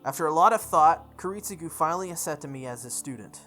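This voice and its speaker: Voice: mid-range voice, animated, quick pace, fairly bright tone. A video game playthrough host reading visual novel narration aloud in a dramatic manner. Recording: background music behind the voice. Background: game music.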